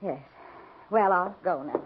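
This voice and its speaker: An American woman playing a nervous, polite frontier wife with a husky voice in a 1950s radio western. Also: lowish voice, unhurried, slight background noise, natural voice. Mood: guarded, polite. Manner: nervous, apologetic, over-explaining. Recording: dull 1950s radio recording.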